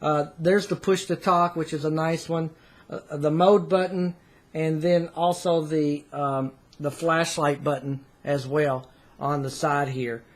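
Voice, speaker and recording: A man with a slightly high voice talks through a product review off the cuff. He is casual and a bit hesitant, rambling as he explains things. It is recorded in a small room at home on a camera's microphone. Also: fairly even intonation, a little slow.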